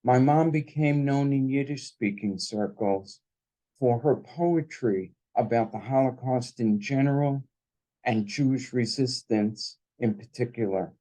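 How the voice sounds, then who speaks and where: A man reading a poem his mother wrote, in a solemn, deliberate manner, after a brief introduction. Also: mid-range voice, warm tone, poor audio. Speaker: son of the poet, introducing and reading. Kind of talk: slow, measured poetry reading.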